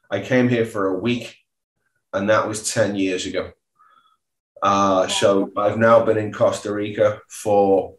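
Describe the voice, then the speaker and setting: A man, telling his story as a podcast guest. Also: fairly low pitch. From Merseyside, northwest England. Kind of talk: relaxed personal storytelling. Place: indoor living room, seated back from the screen. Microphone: video call. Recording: roomy echoing video call.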